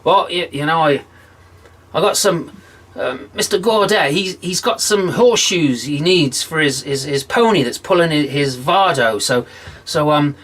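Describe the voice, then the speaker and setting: A man's voice: animated, a little slow, fairly bright tone. A man voicing a wily old blacksmith who stalls with stammers and fillers in a told story. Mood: stalling. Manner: hesitant, stammering, higher pitched.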